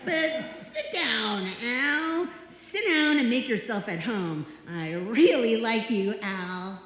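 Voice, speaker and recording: Woman as wry high-voiced roommate, echoey. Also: lively intonation, takes it slowly, a bit bright, a little hiss or hum. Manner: wry, sarcastic. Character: sensible, wry, exasperated female roommate. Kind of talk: live old-time radio comedy acting. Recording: echoey hall, live stage performance.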